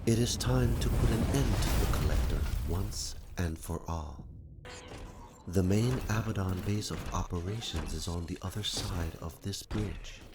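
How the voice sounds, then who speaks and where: An actor playing a calm, solemn machine guide giving formal, measured mission briefings in a game. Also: male voice, deep voice. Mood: grave. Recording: loud background noise and ambience.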